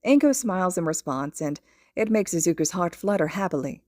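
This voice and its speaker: A woman's voice: fairly low pitch, animated, brisk, a little mellow, no echo, clean studio sound. A woman reading a story aloud as a scripted podfic narration.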